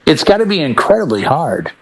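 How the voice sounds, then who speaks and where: A man co-hosting a casual panel chat, talking in an animated storytelling way. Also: fairly high pitch, a little fast, a loud crowd, low-quality recording. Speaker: podcast co-host.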